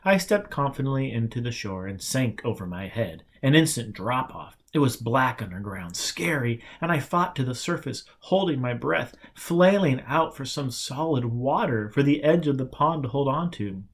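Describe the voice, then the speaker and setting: Male audiobook narrator, medium pitch, delivering first-person literary storytelling. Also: expressive, moderate pace, hardly any pauses. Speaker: audiobook reader. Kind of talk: storybook narration with acted dialogue.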